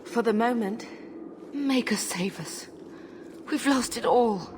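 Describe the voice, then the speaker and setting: An actress playing a grieving, gentle middle-aged mother fleeing home in a fantasy video game, weary and pleading. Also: a little high, slow pace, noisy background. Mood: sorrowful.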